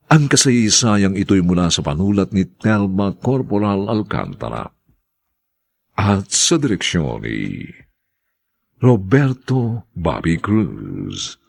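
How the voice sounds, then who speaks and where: Deep, gravelly male radio announcer. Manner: solemn, theatrical. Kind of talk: formal scripted radio narration.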